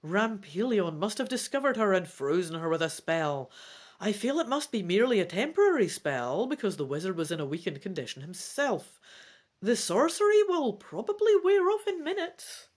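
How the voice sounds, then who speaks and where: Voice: mid-range voice, sing-song, moderate pace. A reader voicing a caring, authoritative sorceress queen and mother, solemn, in a dramatic storybook reading. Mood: relieved, reassuring.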